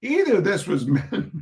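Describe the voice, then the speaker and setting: Slow, very animated man reading aloud. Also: sits high. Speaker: reader of short humorous pieces. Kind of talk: informal live reading of comic essays with chatty asides.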